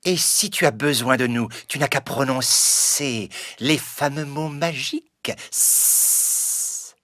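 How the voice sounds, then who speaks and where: A man voicing a giant, protective snake with a solemn, deliberate manner in a children's animated story. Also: medium pitch, no echo, clean studio sound. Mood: tender, solemn. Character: giant male snake, slow, protective jungle friend.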